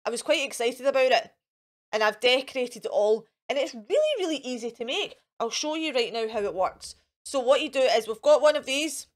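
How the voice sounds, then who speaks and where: A Scottish woman with a fairly high voice hosts for young children. She talks in a chatty, encouraging way, as if straight to the viewers at home. Her phrases are simple and often repeated, with little questions to keep the children involved. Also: animated, fairly quick. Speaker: children's storytime host. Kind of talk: chatty children's craft and activity presenting to camera.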